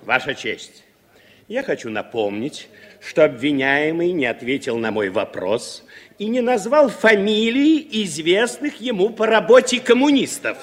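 An actor playing an indignant, insistent prosecutor in an American courtroom, declaiming in an old radio drama. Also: male voice, sits high, very animated, unhurried. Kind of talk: Soviet radio drama acting. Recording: 1960s radio recording, reverberant hall.

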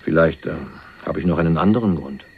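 An actor playing a hunted young millionaire on the run, wry and streetwise, talking in a dry, colloquial, confiding way in a crime radio play. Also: male voice, a bass voice, fast, vocal fry, slightly rough, heavily acted. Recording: muffled phone-like narrowband radio recording.

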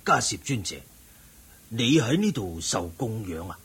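An actor playing an eminent, wealthy old layman who lectures in a measured way, in Hong Kong Cantonese radio drama. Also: fairly low pitch, big pitch swings, fairly bright tone, slight background noise, exaggerated voice. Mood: solemn, admonishing. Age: older. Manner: measured, preaching.

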